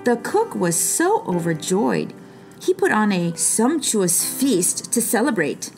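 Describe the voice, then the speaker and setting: A woman reading a children's story aloud expressively, with dramatic pauses and stretched words. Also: medium pitch, big pitch swings, darkish tone, faint music behind. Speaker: storybook reader.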